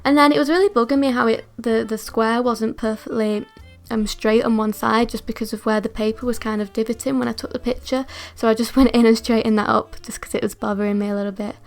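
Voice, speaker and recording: A young woman from England with a northern English accent, chatting casually about her art, a little croaky from just waking up and wearing retainers. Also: a little high, steady tone, quick pace, soft background music. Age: young adult. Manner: chatty, self-deprecating, rambling asides. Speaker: art vlogger. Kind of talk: casual chatty voiceover and to-camera talk.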